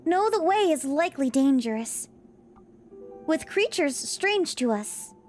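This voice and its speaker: An earnest little heroine of a fantasy game, played by a woman, very high in pitch and lively in melody. She speaks properly and theatrically, in storybook rhyme, with faint music behind her. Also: a little slow. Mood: resolute. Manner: theatrical, rhyming storybook delivery. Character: earnest young girl adventurer, proper and lively, rhyming. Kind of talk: fan dub of a fantasy video game.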